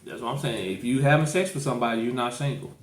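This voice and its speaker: A man's voice: mid-range voice, fast talker, slight background noise. St guy on a podcast, real casual n opinionated, keeps repeating himself, roomy echoey sound. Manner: repetitive, emphatic, colloquial. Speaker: podcast host.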